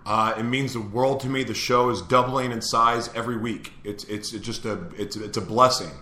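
A man's voice: mid-range voice, fairly even intonation, moderate pace, bright, forceful tone, roomy sound. A man hosting a live morning show, upbeat and laughing easily as he chats with a guest. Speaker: host. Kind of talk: casual live show chat.

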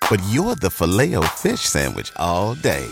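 A man doing a casual scripted voice-over for a fast-food commercial. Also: sits low, wildly swooping pitch, creaky. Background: hip hop music.

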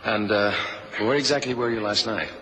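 An actor playing a terse, matter-of-fact FBI sidekick in a radio drama. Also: male voice, lowish voice, fairly even intonation, moderate pace, fairly bright tone, natural voice, rough recording. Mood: wry, questioning. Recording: 1970s radio drama, faint noise.

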